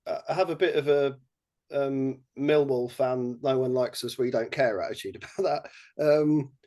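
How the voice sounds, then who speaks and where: A man with an English accent chatting as a podcast guest about his research project, talking haltingly with many fillers and restarts. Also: medium pitch, warm tone. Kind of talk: casual podcast chat.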